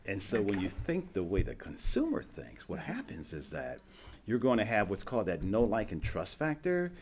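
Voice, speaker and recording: A man's voice: lowish voice, huge pitch swings, moderate pace, a little mellow, slight background noise. A man hosting an interview, coaching his guest on branding and explaining with everyday examples. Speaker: podcast host and branding coach. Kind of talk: casual interview chat.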